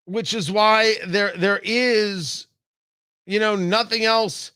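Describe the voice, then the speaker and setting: A man's voice: high-pitched, steady tone, slow, sharp tone, clear, resonant tone, no echo. An American man delivering an opinionated political monologue as a radio host, emphatic and theatrical, repeating phrases for effect. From New York and New Jersey, United States.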